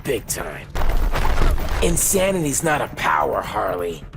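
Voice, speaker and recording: A man's voice: medium pitch, very animated, unhurried, creaky. A young man playing a cocky, quippy fire-powered superhero in a video game. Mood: teasing. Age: young adult. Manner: sarcastic, quippy. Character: cocky young fiery superhero, wisecracking and brash. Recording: game audio under loud music.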